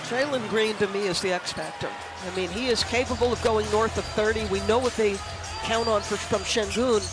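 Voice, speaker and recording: Woman analyzing basketball over loud music. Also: mid-range voice, steady tone, a little fast. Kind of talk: live game analysis.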